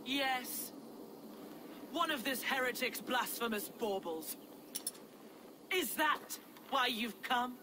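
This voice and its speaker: A woman's voice: on the high side, slow, pauses often. An actress playing a haughty, hostile Saxon noblewoman villain in a video game, speaking with scornful, imperious force. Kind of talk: dramatic video game acting. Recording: clear ambient noise behind.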